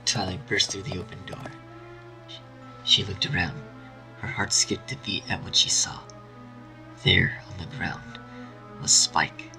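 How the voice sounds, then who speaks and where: A man narrating a scripted dark fan story in a dramatic storytelling style. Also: low voice, steady tone, measured pace, vocal fry, long pauses, rough recording. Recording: faint music behind.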